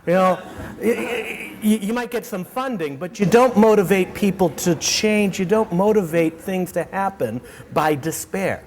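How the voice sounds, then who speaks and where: A middle-aged man with a Boston accent, giving a keynote talk to students in an animated way, often repeating words and restarting sentences. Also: high voice, a little slow, slight background noise. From Boston, United States. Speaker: keynote speaker. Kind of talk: energetic lecture to students. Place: auditorium.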